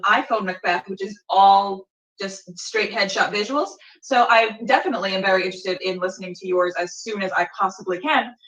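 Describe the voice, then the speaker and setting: A woman's voice: medium pitch, sharp tone. A woman hosting a podcast interview in a casual, chatty way. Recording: echoey, distant from the microphone.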